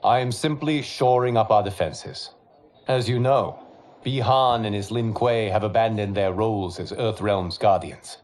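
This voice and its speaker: An actor playing a wise, serene monk guardian who speaks in a formal, measured way. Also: male voice, fairly low pitch, darkish tone, close to an everyday voice. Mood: solemn. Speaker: leader of the champions.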